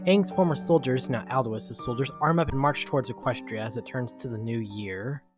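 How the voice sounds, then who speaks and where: A man narrates a dramatic story from a script at a medium pitch. He sometimes trips over the text, and faint music plays underneath. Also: fairly quick.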